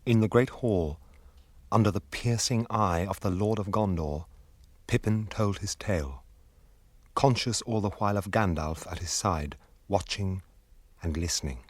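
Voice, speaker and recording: An actor as the grave narrator of a fantasy radio drama, reading in a measured, literary British manner. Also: male voice, deep voice, no room sound. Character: grave male storyteller of an epic fantasy.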